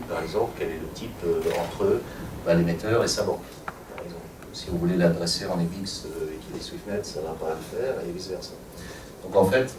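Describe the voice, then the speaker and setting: A man presenting a technical workshop to a room of attendees, explaining step by step with frequent fillers and light asides. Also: sits low. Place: conference room. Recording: echoey room from far off, audible noise.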